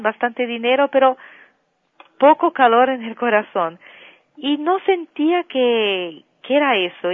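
A Brazilian-born woman singer, a guest on a radio interview by phone, telling her story slowly with long pauses and fillers. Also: a little high, no room sound. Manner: slow, warm storytelling with drawn-out fillers, sings softly at times. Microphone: telephone. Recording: tinny phone line.

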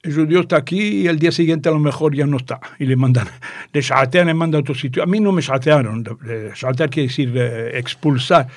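An older man from Tangier with a northern Moroccan Judeo-Spanish accent, chatting as an interview guest in a playful, digressive storytelling way and pausing to explain words. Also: a little high, no echo, studio quality. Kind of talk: conversational storytelling.

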